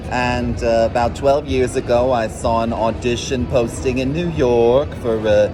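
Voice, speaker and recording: A man's voice: medium pitch, steady tone, a little slow. Confident campy drag performer, male, interview with music behind. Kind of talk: casual interview. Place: convention floor. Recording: loud music in background.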